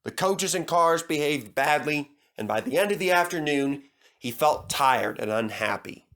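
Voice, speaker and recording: A man's voice: a little high, expressive, normal speed, fairly bright tone. A man narrating a scripted children's story as a storyteller.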